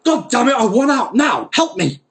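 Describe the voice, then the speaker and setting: A man's voice: very high-pitched, big pitch swings, moderate pace, a bit bright, voice pushed up, exaggerated voice. A man voicing a furious, trapped old jar mender in a story, screaming his demands.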